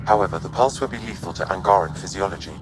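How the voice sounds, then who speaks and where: An actor playing a calm artificial intelligence in a video game, precise, formal and matter-of-fact. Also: male voice, low-pitched, fast, over music, natural voice. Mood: calm, factual.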